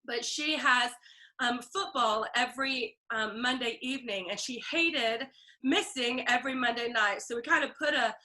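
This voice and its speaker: Woman team leader on a video call, congested and hoarse-edged, high and bright, chatty with lots of ums. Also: normal speed. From Texas. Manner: upbeat, chatty, many ums and throat clearing. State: stuffy and scratchy throat, coughing.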